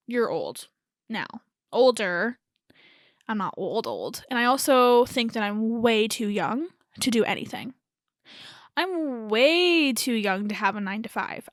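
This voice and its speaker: Hoarse, raspy young woman rambling casually. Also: a little high, fairly bright tone, dry recording, studio quality. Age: early 20s. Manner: rambling, self-correcting, laughs nervously. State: hoarse from a lingering cold. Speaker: podcast host. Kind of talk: casual solo chat.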